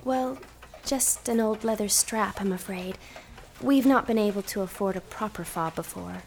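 An actress playing a devoted young newlywed wife, earnest and hesitant, in a radio drama. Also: female voice, mid-range voice, normal speed, a little mellow, a little hiss or hum, close to an everyday voice. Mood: eager, earnest. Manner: hesitant, earnest, breathlessly eager. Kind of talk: stage-style radio drama acting.

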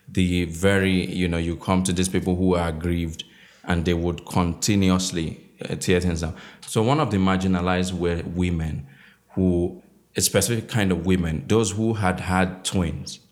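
A man from Nigeria, a novelist in his thirties, answering interview questions in a measured way with many fillers. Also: sits low, steady tone. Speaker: novelist guest.

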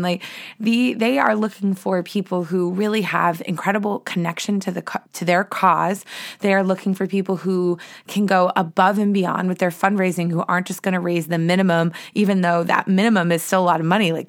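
Hoarse-voiced woman podcast host. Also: fairly low pitch. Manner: earnest, enthusiastic. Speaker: podcast host and running coach. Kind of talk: solo podcast talk.

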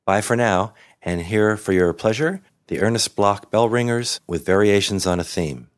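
A man's voice: fairly low pitch, moderate pace. A man speaking as a podcast host, thanking and addressing his listeners in a friendly way.

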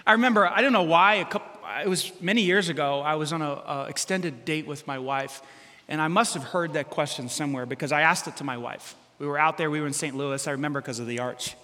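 A middle-aged pastor with a loud voice preaching, animated and self-deprecating, with sudden loud bursts as he tells stories. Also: a little high, quick pace. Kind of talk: sermon. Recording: long hall reverb.

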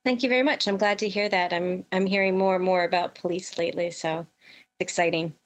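A middle-aged woman speaks as a guest in a conversational interview. Her voice is slightly on the low side, and she talks like an experienced professional explaining her work. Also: fairly even intonation, fairly quick. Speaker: podcast guest, veteran emergency dispatch professional.

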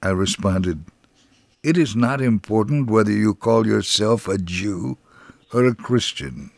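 A man reading a first-person religious testimony as a scripted dramatic narration, in a measured, earnest way. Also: on the low side, animated, gravelly, no echo.

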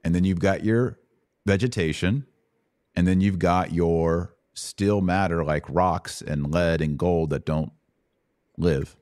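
A man's voice: low voice, slow pace, a little mellow, no room sound, clean studio sound. A man hosting an informal Bible question-and-answer podcast, explaining things in colloquial language with emphatic repetition. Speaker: podcast host.

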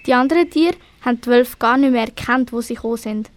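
A woman's voice: on the high side, quick pace, dry recording. A woman with a Swiss German accent narrating a scripted children's story for an amateur radio play.

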